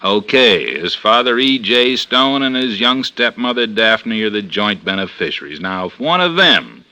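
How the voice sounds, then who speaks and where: An American actor playing a blunt, world-weary police lieutenant in a 1950s radio detective drama. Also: male voice, fairly low pitch, very animated, measured pace, sharp tone, heavily acted. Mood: matter-of-fact. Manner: blunt, matter-of-fact.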